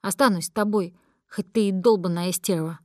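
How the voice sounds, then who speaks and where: A woman reading aloud and voicing a gentle, patient young man who speaks quietly and flatly. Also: mid-range voice, normal speed, no echo, clean studio sound, lightly acted. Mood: quiet resigned. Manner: quiet, calm, flat.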